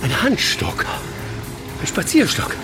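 An actor in a German radio play, playing an eccentric male aesthete who ponders grandly in pompous, halting phrases. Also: high voice, wildly swooping pitch, music in the background, heavily acted. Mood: grandly pondering.

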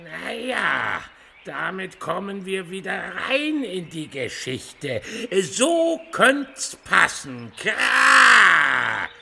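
A man playing a cawing talking bird that announces book pages in a children's radio play, croaky and squawking. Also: high voice, very animated, very slow, background noise, full character voice. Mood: officious.

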